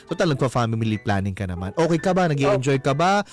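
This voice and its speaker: A man's voice: mid-range voice, big pitch swings, fast. A Filipino man hosting a radio advice chat, joking and teasing as he mixes Tagalog and English. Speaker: radio host giving relationship advice. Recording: music bed behind the voice. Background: background music.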